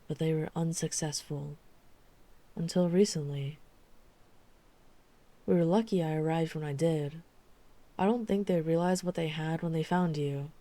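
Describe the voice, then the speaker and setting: A dry-witted vampire hunter played by a woman from an urban fantasy audio roleplay. Her voice is very low for a woman and softly dark. She tells things deliberately, like someone guarded who is confiding in a friend. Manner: measured, deliberate storytelling with dry asides.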